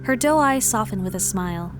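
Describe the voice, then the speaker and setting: A woman's voice: medium pitch, animated, fairly quick, natural voice. Woman acting a wary traveler, narrating over music. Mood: confused, resolute. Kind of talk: dramatic first-person visual novel narration.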